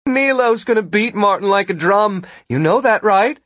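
A proud, unrepentant woman as a male narrator puts her on, pitched high with lively swings. She argues defensively and haughtily, in an adventure audiobook. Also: normal speed, no room sound. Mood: smug. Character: proud, self-justifying woman villain, cool and unrepentant.

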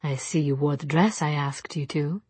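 Suave young gentleman, read by a female narrator: low, husky, softly condescending, possessive audiobook dialogue. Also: moderate pace, no echo. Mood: intimate, admiring.

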